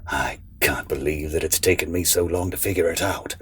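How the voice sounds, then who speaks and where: A man playing a cryptic, sinister figure in an audio drama, with a measured, knowing, theatrical delivery. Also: very deep voice, fairly bright tone, slightly rough, heavily acted.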